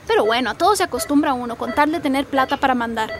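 A woman's voice: high-pitched, animated, quick pace, crowd noise. An actress playing a candid, resilient migrant woman confiding in her sister in a radio soap, with sighing delivery. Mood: weary, candid. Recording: clear background noise.

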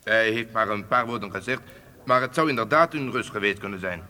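A man's voice: fairly low pitch, brisk, bright, forceful tone. An actor playing a deferential but cold-blooded French hitman in a radio drama, formal and matter-of-fact. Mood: deferential menace.

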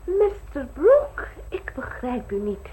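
A woman's voice: high voice, wildly swooping pitch, takes it slowly, mellow, full character voice. An actress playing a genteel eighteen-year-old society girl in an old radio play, prim and easily flustered. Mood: unsettled, wondering. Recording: old radio recording with clear noise.

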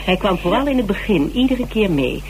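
Scherpe, bazige speurster, duidelijke ruis. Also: female voice, mid-range voice, very animated, fairly quick. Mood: matter-of-fact. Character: shrewd, bossy female investigator, teasing and self-assured. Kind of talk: Dutch radio drama acting. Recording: noisy old radio play recording.

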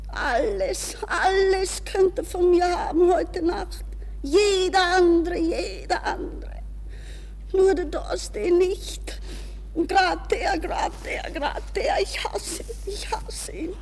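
A woman's voice: sits high, a little mellow, in a large hall. An actress playing a desperate, dreamy young woman from a good Viennese family in a theatrical interior monologue for a German radio play. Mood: tearful, hateful.

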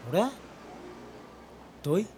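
A man's voice: very slow, mellow, a little hiss or hum. A man playing a confused, surly eighteen-year-old student in a radio drama, blunt and slangy. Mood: bewildered.

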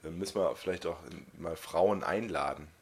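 Male co-host with a very deep voice, casual and deadpan, trading podcast banter. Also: moderate pace.

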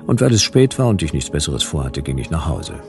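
An actor playing a wry, laconic private detective, narrating in a dry hard-boiled manner for a German radio play. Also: male voice, rumbling low, very animated, brisk, creaky, faint music behind, heavily acted. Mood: wry, matter-of-fact.

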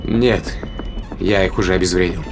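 Low-voiced irritable starship captain. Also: male voice, moderate pace, music in the background. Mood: impatient, tense. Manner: terse, commanding, cursing. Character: embattled starship captain, irritable, commanding man. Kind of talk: science fiction audio drama.

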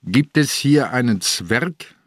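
Slow-spoken, shrewd old police commissioner. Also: male voice, mid-range voice, lively intonation, no room sound, studio quality. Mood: probing. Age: older. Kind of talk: radio drama acting.

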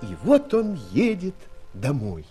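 An actor playing a wry storyteller, narrating a fairy tale with theatrical, expressive delivery and dramatic pauses. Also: male voice, sits high, full character voice. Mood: storytelling. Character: wry male storyteller addressing young friends. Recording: 1970s studio audio play, music behind.

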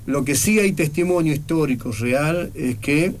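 A man's voice: a little high, unhurried, a little hiss or hum. A man with an Argentine accent speaking as a guest on a radio show, earnest and persuasive, stacking phrases in rhythm. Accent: Argentine Spanish. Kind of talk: casual radio interview.

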